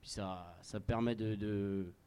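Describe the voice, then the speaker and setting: Clear-voiced Frenchman, halting and mock-serious. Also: lowish voice, fairly even intonation, dark tone. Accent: French from France. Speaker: musician guest on a live radio show.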